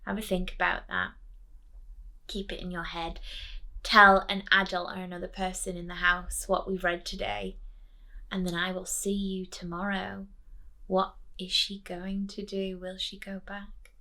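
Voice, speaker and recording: A woman teacher reading a children's story aloud expressively, deliberately and with dramatic pauses. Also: lowish voice, level tone, pushed and bright, rough recording.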